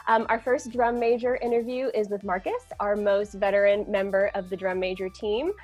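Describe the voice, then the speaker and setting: A woman's voice: medium pitch, flat delivery, normal speed, a little mellow, highs cut off, soft background music. A woman on the admin team interviewing drum majors over a video call, friendly and upbeat.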